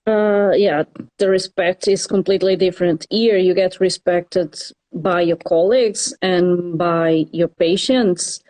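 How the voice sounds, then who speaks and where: Woman, nurse, talks slow and halting, soft mellow tone. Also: fairly low pitch, fairly even intonation, low-quality recording. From Portugal. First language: Portuguese. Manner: halting, hesitant English. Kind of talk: casual interview.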